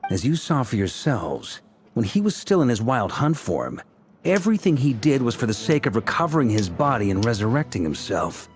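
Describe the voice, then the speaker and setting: A man playing a confident, laid-back military leader in a video game, speaking scripted lines in a measured, reassuring and deliberate way. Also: medium pitch, sing-song, a little hiss or hum, close to an everyday voice. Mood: resolute.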